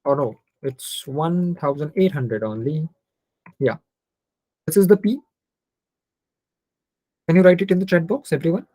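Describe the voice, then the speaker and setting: An Indian-accented man teaches an online class in a soft, dark-toned voice with very little room sound. He works through each step aloud, switching between Hindi and English and often putting questions to his students. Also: on the high side, unhurried, long pauses, slightly rough, no echo, low-quality recording. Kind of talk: interactive online lesson, working through problems aloud.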